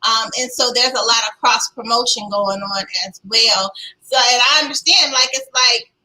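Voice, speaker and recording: A woman hosting a live online chat show, talking in an emphatic, lively way. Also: on the high side, normal speed, a little hiss or hum, rough recording. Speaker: talk show host.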